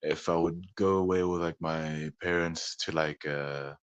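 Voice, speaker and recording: Very deep-voiced man, meandering. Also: steady tone, unhurried, smooth, dry recording, dull audio. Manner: meandering, hesitant, full of "like" and "you know". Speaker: musician guest. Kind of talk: casual interview talk.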